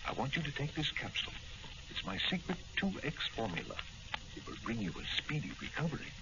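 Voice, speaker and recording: An actor playing a secretive, assured doctor friend in an old 1940s-style radio drama, speaking in a confiding, emphatic way. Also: male voice, fairly high pitch, sing-song, brisk. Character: secretive doctor friend offering a miracle formula. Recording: noisy, muffled old-time radio recording.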